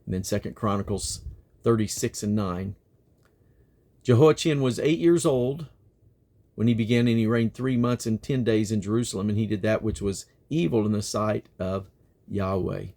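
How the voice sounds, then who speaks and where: A man's voice: on the low side, moderate pace, dark tone. Man solemnly reading scripture aloud. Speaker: Bible reader and host. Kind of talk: solemn scripture reading with brief spoken references.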